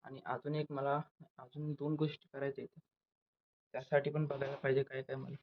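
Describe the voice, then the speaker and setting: A man's voice: mid-range voice, little pitch movement, fairly quick. A young man with a rural western Maharashtra accent chatting casually for a daily vlog, rambling and correcting himself as he goes. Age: young adult. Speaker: vlogger. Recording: dull lo-fi phone sound, background noise.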